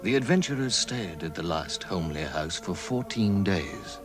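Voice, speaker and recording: An actor playing a composed storybook narrator in a 1960s radio drama, delivering scripted narration. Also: male voice, sits low, lively intonation, moderate pace, music in the background. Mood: storytelling. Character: composed male storybook narrator of a fantasy adventure.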